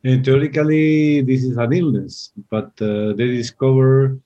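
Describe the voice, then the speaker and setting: Man, Spanish accent, radio host, mellow tone, dull lo-fi audio. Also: medium pitch, steady tone. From Spain. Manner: slow, with many filler uhs. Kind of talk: interview hosting.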